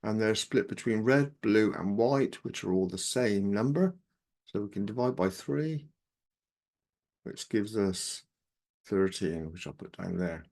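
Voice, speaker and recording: A man with a croaky voice, working through exam maths questions aloud step by step as a tutor. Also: lowish voice, measured pace. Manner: steady step-by-step, talks himself through sums.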